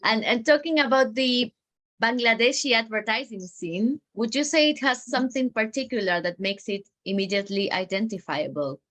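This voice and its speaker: A woman's voice: a little high, normal speed, fairly bright tone. A woman hosting an interview, asking questions in a conversational style. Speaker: interviewer, host.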